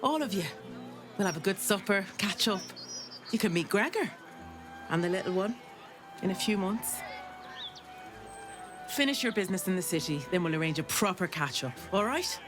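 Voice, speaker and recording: A woman playing a warm-hearted, teasing female arms trader in a video game, hearty and familiar. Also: mid-range voice, animated, moderate pace, pauses often, lightly acted. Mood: hearty, affectionate. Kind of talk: dramatic video game acting. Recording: music behind the voice.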